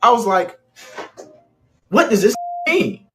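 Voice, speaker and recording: A man's voice: sits high, sing-song, a bit bright. Articulate man with music behind. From Mississippi Delta. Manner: measured, articulate, questioning. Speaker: social media creator in a played clip.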